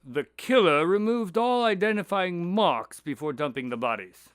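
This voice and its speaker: An actor playing an earnest doctor and loyal detective's sidekick in an audio drama, speaking in a measured, articulate, conversational way. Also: male voice, sits high, expressive, clean tone, no echo, studio quality. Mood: recounting, grave. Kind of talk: audio drama dialogue and storytelling.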